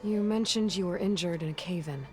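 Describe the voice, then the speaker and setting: Woman playing composed, low-pitched inquisitive heroine. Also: fairly quick, a little mellow, faint music behind, lightly acted. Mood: focused, composed. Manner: direct, probing, composed. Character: direct, inquisitive adult heroine investigating trouble. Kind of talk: scripted video game dialogue.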